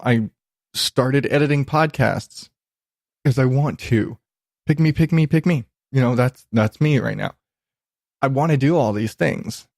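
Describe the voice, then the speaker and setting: A man hosts a podcast alone in a very dry, close-sounding recording. He speaks at a slow, unhurried pace with an average pitch. His talk is loose and informal, rambling and self-deprecating, with some swearing. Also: darkish tone, dry recording. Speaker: podcast host.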